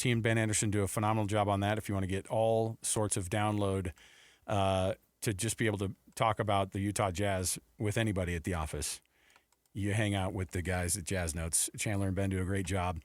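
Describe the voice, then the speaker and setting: A man's voice: on the low side, fairly even intonation, normal speed, dry recording. An American man hosting a sports talk radio show in a casual, conversational way.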